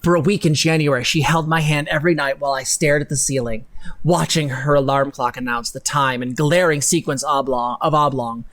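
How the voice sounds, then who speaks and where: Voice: medium pitch, fairly even intonation, brisk, fairly bright tone. A man doing a mock-dramatic reading on a live stream, theatrical and sarcastic, breaking off for casual, sweary asides. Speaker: co-host reading text aloud on a live stream. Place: indoor room at a computer, live stream.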